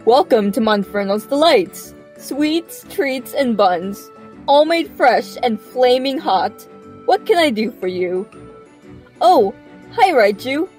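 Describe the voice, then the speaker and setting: A woman's voice: a little high, very animated, slow, a little mellow, soft background music. A woman playing a friendly, hospitable monkey-creature shopkeeper in an audio drama, chatty and welcoming. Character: friendly, hospitable female Monferno bakery shopkeeper.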